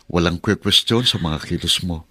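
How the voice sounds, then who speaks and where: A man's voice: low-pitched, normal speed, pushed and bright, slightly rough, dry recording, heavily acted. A man playing a devoted, reassuring young Filipino husband in a radio drama. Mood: tender, reassuring.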